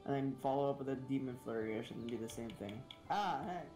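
Low-voiced chatty woman streamer. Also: a little fast, warm tone. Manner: chatty, laughs often, thinks aloud about combos. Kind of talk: casual gaming chat. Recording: roomy cheap mic with game music.

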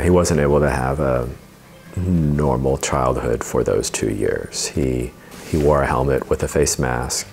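A man in his forties telling his family's story in an interview, seated on a living room sofa, speaking steadily with many pauses. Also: rumbling low, a little hiss or hum. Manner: measured, steady telling with frequent uh fillers. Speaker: parent interviewee. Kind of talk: interview testimonial.

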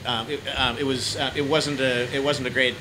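A man in his forties doing a casual interview, speaking hesitantly with halting, stammering restarts. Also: medium pitch, flat delivery. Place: small decorated indoor room. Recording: noisy room with background hum.

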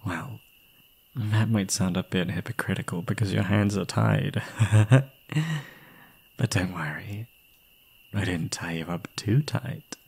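Breathy, obsessive young yandere man, acted by a man. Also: deep voice, slow, long pauses, heavily acted. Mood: soothing, menacing. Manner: doting pet names, unhinged chuckling, swinging into whispers. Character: obsessive, gleeful young male yandere kidnapper. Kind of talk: ASMR roleplay, speaking intimately to the listener.

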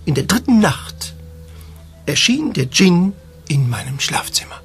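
A flamboyant film actor in a radio play, eccentric and gracious. His fairly high voice swings widely and dwells on words for dramatic effect, with music underneath. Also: sing-song, a little slow, fairly bright tone, heavily acted. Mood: storytelling, enraptured. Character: theatrical, eccentric film actor pining for his escaped genie. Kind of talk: German audio drama acting.

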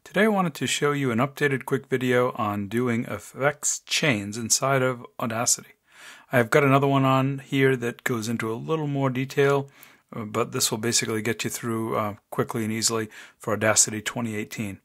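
A man with a deep voice, hosting a casual screencast tutorial and talking through each click step by step. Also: moderate pace, fairly bright tone. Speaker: software tutorial host. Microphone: desk microphone, voice-over of screen recording.

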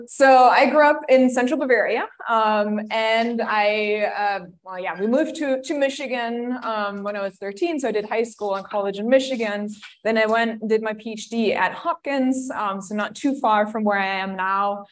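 A woman's voice: on the high side, normal speed, hardly any pauses. A woman from Germany giving a friendly, chatty academic seminar talk with many ums. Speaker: guest lecturer.

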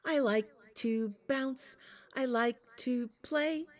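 Lady doing tiny wolf pup, high squeaky kid voice, all bouncy. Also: slow. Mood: cheerful. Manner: high, bright childlike voice. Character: small gray wolf cub boy, bouncy and eager.

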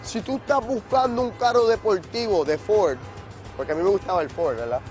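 A Puerto Rican man in his thirties giving a joking, hyped sales pitch on an outdoor car lot, tossing in English words. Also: a little fast, a little mellow, soft background music. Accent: Puerto Rican Spanish. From Puerto Rico.

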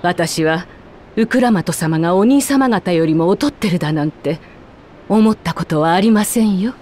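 A woman's voice: lowish voice, normal speed, a little mellow, a little hiss or hum, natural voice. An actress playing an older, motherly nursemaid in a fantasy game, polite, devoted and dignified. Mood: gentle, earnest.

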